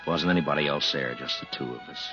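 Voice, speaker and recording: An actor playing an easygoing, weary frontier marshal, telling his story haltingly in a 1950s radio western style. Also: a bass voice. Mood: reflective, nostalgic. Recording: muffled 1950s radio recording with music.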